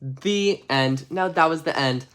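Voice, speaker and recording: A man's voice: on the high side, takes it slowly, clear, resonant tone. A man narrating a children's bedtime story expressively, chatty and casual with ad-libbed asides. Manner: expressive, chatty, ad-libbing around the text. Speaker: storybook reader.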